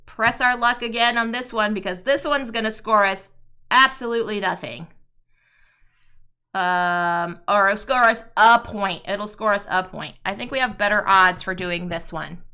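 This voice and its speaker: Woman streamer, chatty and unhurried, bright, smooth voice a touch raspy this morning. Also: medium pitch. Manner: chatty, talks to chat viewers, sings playfully. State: slightly raspy before morning tea. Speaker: streamer playing a solo card game. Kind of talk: casual live-stream commentary.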